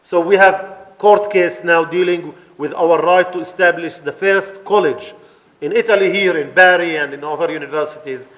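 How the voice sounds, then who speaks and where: A man's voice: fairly high pitch, a bit bright. A man from the Palestinian community inside Israel, giving a passionate conference talk with fillers and restarts. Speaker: guest speaker at a conference. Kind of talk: public talk with questions and answers. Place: event hall in Italy.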